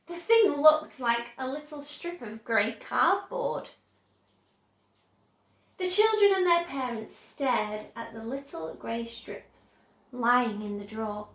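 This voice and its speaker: A woman reading a children's book aloud with expressive, dramatic emphasis. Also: a little high, takes it slowly. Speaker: storyteller. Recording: echoey room.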